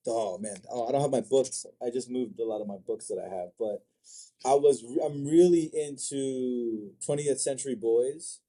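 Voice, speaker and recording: A man's voice: medium pitch, normal speed, warm tone. A man from Africa chatting casually as an interview guest, agreeable and slangy, often repeating short phrases. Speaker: interview guest, manga artist and sculptor.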